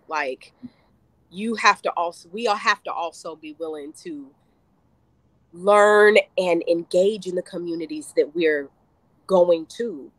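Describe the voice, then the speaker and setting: A woman's voice: lowish voice, no room sound. Woman, guest on a video call, emphatic and passionate, dry close sound. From United States. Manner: passionate, emphatic, speeds up and slows down. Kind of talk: casual conversation.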